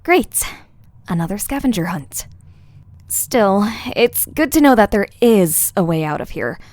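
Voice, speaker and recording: A woman's voice: mid-range voice, big pitch swings, unhurried, darkish tone, no room sound. A woman playing a wry, determined young explorer who mutters to herself in an audio drama. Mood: wry, hopeful. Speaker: voice actor. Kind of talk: dramatized fan fiction audio acting.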